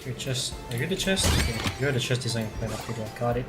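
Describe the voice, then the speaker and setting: A game streamer with a mid-pitched voice makes casual, muttered asides while playing. Game music is clearly heard behind the commentary. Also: animated, fast talker.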